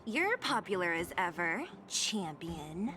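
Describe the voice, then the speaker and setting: A woman's voice: fairly low pitch, sing-song, slow pace, sharp tone, full character voice. An actress playing a level-headed woman fighter who sounds dry and exasperated, with frequent sighs. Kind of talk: video game voice acting. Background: game music.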